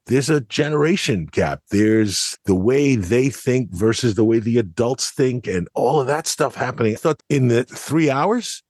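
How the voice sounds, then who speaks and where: A man's voice: medium pitch, breathy edge, dry recording, studio quality. A man co-hosting a podcast, giving conversational analysis of a story. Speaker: podcast co-host.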